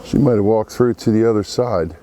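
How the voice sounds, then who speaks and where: A man with a cold and a froggy, congested voice, talking slowly through a beehive inspection outdoors among buzzing bees. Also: lowish voice, warm tone, slight background noise. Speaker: beekeeper. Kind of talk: casual hive inspection commentary. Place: outdoor apiary.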